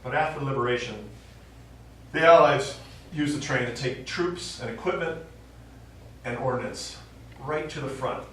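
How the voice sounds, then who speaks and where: An actor playing a stubborn old American war veteran and father, telling his memories haltingly in a stage play. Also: medium pitch, fairly bright tone, close to an everyday voice. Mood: wistful reminiscing. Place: small theater stage, recorded from the audience. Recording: distant, very echoey theater recording, faint noise.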